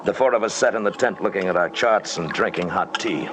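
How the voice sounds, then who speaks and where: An actor playing a calm, commanding expedition leader who narrates and acts in an old-time radio drama, measured and matter-of-fact. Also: male voice, low voice, lightly acted. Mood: grave, narrating. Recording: very noisy old radio recording.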